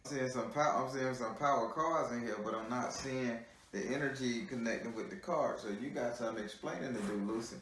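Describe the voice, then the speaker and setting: A man's voice: mid-range voice, brisk, fairly bright tone, a little hiss or hum. A man giving a tarot card reading on a call, chatty and teasing, repeating his words as he reads the cards. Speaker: tarot reader. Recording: heavy room echo.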